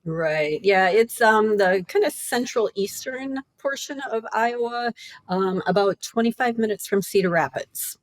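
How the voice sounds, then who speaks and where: A woman's voice: medium pitch, unhurried, no room sound. A woman from the American Midwest, a travel photographer, talking as a guest in a casual interview with many ums.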